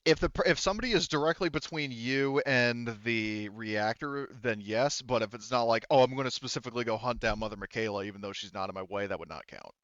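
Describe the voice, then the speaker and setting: A man acting as game master for a casual tabletop roleplaying game, halting and thinking aloud as he explains rulings. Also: mid-range voice, a bit bright, dry recording, lo-fi sound.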